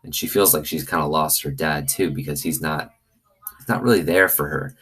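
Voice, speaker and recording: Low-voiced man reading a story aloud. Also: normal speed, a bit bright, husky. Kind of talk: expressive storybook reading with commentary.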